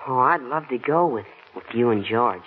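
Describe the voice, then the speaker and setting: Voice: mid-range voice, lively intonation, moderate pace, phone-quality sound, close to an everyday voice. An earnest, trusting boy played by an actor in a 1940s radio drama. The sound is thin and boxy, like an old broadcast through a small speaker. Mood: eager, boyish. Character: eager boy swimmer, trusting, tiring and frightened.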